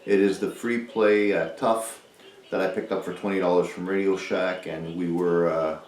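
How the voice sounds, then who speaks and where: A man's voice: sits low, steady tone, normal speed, a little mellow. A man from Canada talking casually as an outdoor vlog host, rambling in a hesitant, folksy way. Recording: roomy, distant mic, faint noise.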